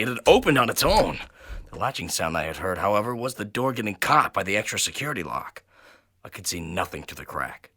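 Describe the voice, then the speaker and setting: A man reading a first-person horror story in an intense, theatrical manner that swings between hushed and loud. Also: deep voice, dry recording, studio quality. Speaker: narrator and reader of a horror story.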